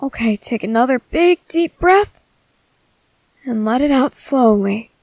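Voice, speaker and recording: A woman playing a gentle, worried young merboy in an ASMR roleplay, speaking softly and hesitantly to comfort someone. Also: high voice, expressive, unhurried, no echo, full character voice. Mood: calming, reassuring. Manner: soft, hesitant, soothing.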